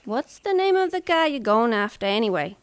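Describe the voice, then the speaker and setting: A woman's voice: a little high, big pitch swings, a little fast, no echo. A woman playing a sardonic, practical, teasing frontier woman in a western radio serial. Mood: sceptical, resigned. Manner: wry, teasing.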